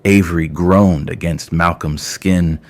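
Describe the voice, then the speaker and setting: A man narrating scripted romance fiction in a measured, deliberate way with dramatic pauses. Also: low voice, fairly even intonation. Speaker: audio story narrator.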